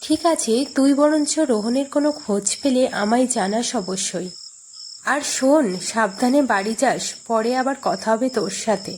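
A woman's voice: a little high, moderate pace, darkish tone, slight background noise, lightly acted. A woman voicing a chatty, concerned young man gossiping about his friend in a horror cartoon. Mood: worried, chatty.

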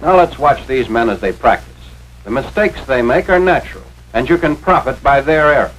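1940s American training film narrator, noisy soundtrack. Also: male voice, medium pitch, lively intonation, moderate pace, fairly bright tone. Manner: instructive, authoritative, carefully enunciated.

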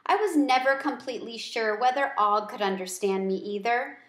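A middle-aged woman reading a children's book aloud with deliberate, expressive storytelling phrasing. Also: a little high. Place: small room at home. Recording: roomy home recording.

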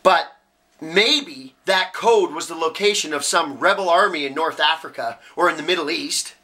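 A man's voice: fairly high pitch, moderate pace, sharp tone. A man playing a cocky, cynical young working-class genius, rambling sardonically through a dramatic film monologue. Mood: sardonic. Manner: rambling, sardonic, building chain of argument.

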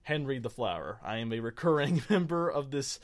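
A man hosts a casual gaming show with no script. His voice sits at an average pitch. He rambles and stammers along, poking fun at himself. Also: sing-song, fairly quick. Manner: rambling, self-deprecating, stammering. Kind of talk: unscripted casual chat.

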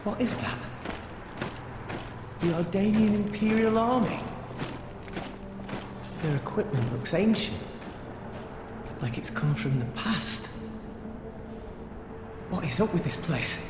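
Scared earnest boy hero in a video game, stammery, slow, music and reverb behind. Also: high voice. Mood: wary, puzzled. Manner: halting, stammering. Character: earnest, frightened teenage boy adventurer, bewildered and pleading.

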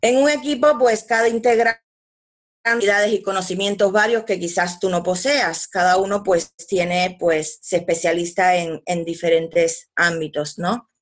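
Woman, webinar presenter reading slides, halting delivery with filler words, mid-pitched, video-call mic. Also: steady tone. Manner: hesitant, fills pauses with "pues" and restarts.

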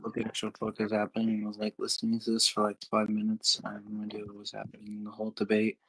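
A man's voice: on the low side, completely flat delivery, fast talker, fairly bright tone, poor audio. A tired man half-whispering crude remarks on a voice call. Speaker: listener in debate call. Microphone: computer mic on voice call.

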